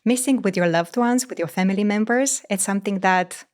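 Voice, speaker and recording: A woman from Romania hosts the conversation, speaking in a smooth, clear voice at a mid pitch. She talks fluently and stays engaged, responding to her guest. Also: fairly quick, darkish tone. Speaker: interview host. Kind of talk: conversational interview.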